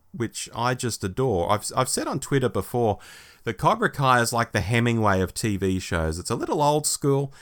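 Low-pitched man chatting on a podcast. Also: a bit bright. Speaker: podcast co-host. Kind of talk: casual fan podcast chat.

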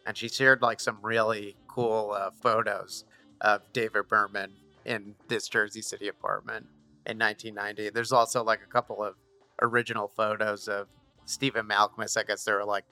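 A man's voice: fairly low pitch, flat delivery, moderate pace, sharp tone, soft background music. A man hosting a podcast, chatting casually with fillers and restarts. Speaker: podcast host.

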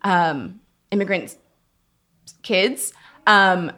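A young woman from Georgia, a guest in a casual conversation, chatty, laughing often and trailing off softly. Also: fairly low pitch, drawn-out words. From Georgia, USA.

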